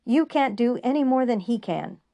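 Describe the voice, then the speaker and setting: A woman's voice: medium pitch, animated, a little slow, no room sound, muffled recording. A woman voicing an agitated, frightened young male doctor who raises his voice. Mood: angry.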